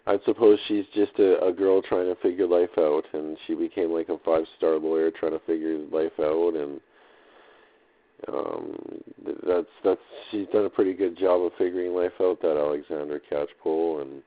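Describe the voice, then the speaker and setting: A man's voice: deep voice, fairly even intonation, normal speed. A man speaking in a hesitant, repetitive, loosely drifting way with laid-back slang, recording an unscripted audio diary in dull lo-fi sound. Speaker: audio diarist.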